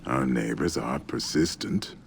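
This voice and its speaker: A brooding samurai warrior. His voice is an extremely deep, gravelly rumble that swings widely in pitch, in a game scene with faint noise behind. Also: unhurried, heavily acted. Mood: grim.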